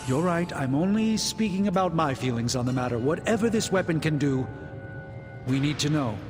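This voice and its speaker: A man playing an anxious occult researcher in a video game cutscene, speaking formally and gravely with hesitant pauses. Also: a little high, expressive, lightly acted. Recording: long reverb, music underneath.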